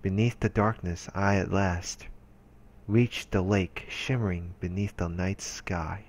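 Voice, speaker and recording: A man's voice: deep voice, steady tone, slow. A man reading visual novel narration aloud as a let's player, in an earnest, dramatic storytelling way.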